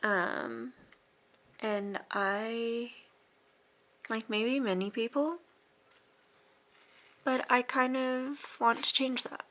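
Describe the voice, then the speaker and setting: A woman in her late twenties chatting casually in a vlog, relaxed and rambling with fillers and soft laughs. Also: on the high side, very slow, long pauses. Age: 20s. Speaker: vlogger.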